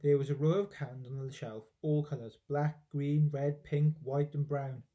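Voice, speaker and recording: A man's voice: a little high, steady tone, unhurried, lo-fi sound. A man reading a children's storybook aloud expressively, with dramatic emphasis, like a teacher.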